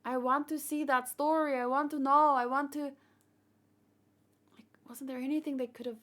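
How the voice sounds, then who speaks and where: A woman's voice: high-pitched, steady tone, normal speed, a bit bright. A woman hosting a livestream, chatting casually and thinking aloud in a rambling way, tired from a long session. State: tired after hours of streaming. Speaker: livestream host. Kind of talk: casual chat, fan theorizing to live viewers.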